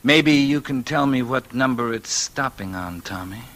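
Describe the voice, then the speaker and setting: An actor playing a smug, cold-blooded gangster boss with mockingly casual menace, in 1940s radio noir style. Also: male voice, mid-range voice, expressive, unhurried, a bit bright. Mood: smug, menacing.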